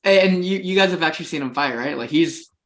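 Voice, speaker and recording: A man's voice: fairly high pitch, fast, a bit bright. A young man co-hosting a podcast, chatting casually, joking in enthusiastic bursts. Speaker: podcast co-host. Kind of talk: casual chat.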